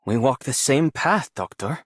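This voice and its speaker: An actor playing an earnest, respectful, idealistic young disciple in a game, with a sincere, polite delivery. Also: male voice, mid-range voice, expressive, unhurried, no echo, studio quality. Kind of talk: scripted game character lines.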